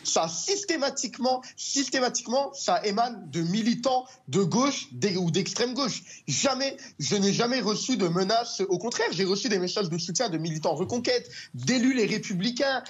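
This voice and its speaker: A man's voice: sits high, husky, muffled recording. A man from France, a journalist appearing as a guest on a TV studio debate, speaking insistently and repeating his key phrases.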